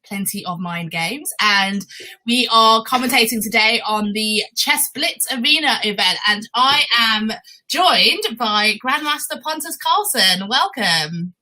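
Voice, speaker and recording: A woman with a bright, fairly high voice hosts live chess commentary. She talks casually and explains as she goes, often checking in with questions. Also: animated, measured pace, poor audio.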